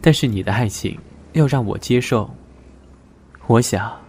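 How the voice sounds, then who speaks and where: A man's voice: mid-range voice, a little slow, darkish tone, husky. Reserved, wounded young man, played by an actor. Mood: quietly firm. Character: reserved, wounded young man setting terms to a former lover. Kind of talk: audio drama acting. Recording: music behind the voice. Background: music.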